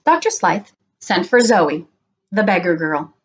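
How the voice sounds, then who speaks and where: A middle-aged woman reading a children's story aloud in an expressive, deliberate way. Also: fairly low pitch, dull audio. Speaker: storybook session reader.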